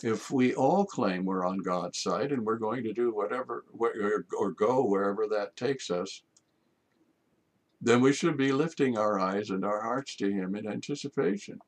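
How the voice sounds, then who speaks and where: An older man, a priest, delivering a measured homily from his study, with rhetorical questions. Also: on the low side. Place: home study with bookshelves.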